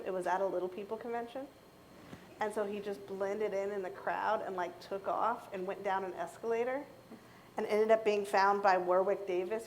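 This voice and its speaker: A middle-aged American woman speaking casually and anecdotally to an audience, acting out dialogue in an animated way. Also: medium pitch, a little hiss or hum, poor audio. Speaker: keynote speaker on a panel.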